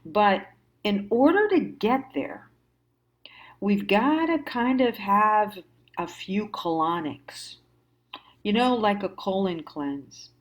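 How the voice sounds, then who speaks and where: An American woman giving a reflective monologue as a host, musing haltingly and stressing key words. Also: mid-range voice, animated. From United States. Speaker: host of a personal storytime show.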